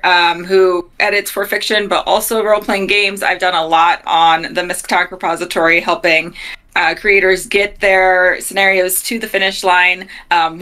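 A woman's voice: mid-range voice, pushed and bright. A woman in her thirties, a guest player chatting casually on a video call from a home office. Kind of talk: casual chat.

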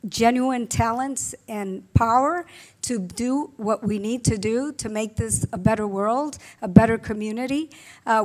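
A woman's voice: a little high, a little mellow. Woman, middle-aged, earnest panel speech, fillers and restarts, table mic. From Puerto Rico. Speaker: panel speaker. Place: conference room with blue drapes. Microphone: table microphone.

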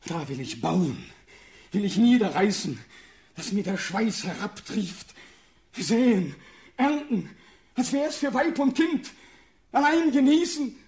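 A young man acting a desperate condemned prince pleading for his life in a classical verse radio drama, impassioned and declamatory, swinging from hush to outcry. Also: high voice, expressive, slow, long pauses, breathy edge, heavily acted. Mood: feverish renunciation.

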